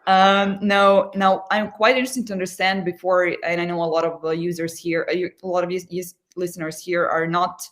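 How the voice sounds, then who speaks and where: A man's voice: high voice, little pitch movement, brisk, hardly any pauses, a bit bright. A man with a Serbian accent hosting a live webinar on a video call, speaking hesitantly with many fillers. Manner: hesitant, lots of uh and obviously. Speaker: webinar host. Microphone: video call mic.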